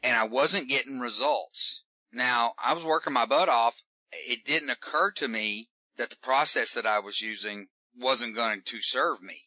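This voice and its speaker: Lively Texan man, bright dry voice. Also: mid-range voice, unhurried, dry recording, low-quality recording. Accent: Southern US, Texan. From Texas. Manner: animated, folksy, repeats words when starting sentences. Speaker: stand-up comedian guest. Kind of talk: casual interview talk.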